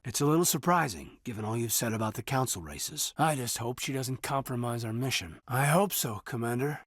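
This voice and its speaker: A man voicing an earnest, loyal military officer in a sci-fi video game, sincere and restrained, with a husky voice. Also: medium pitch, animated, brisk, fairly bright tone, dry recording, clean studio sound. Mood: earnest, matter-of-fact. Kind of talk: naturalistic dramatic game dialogue.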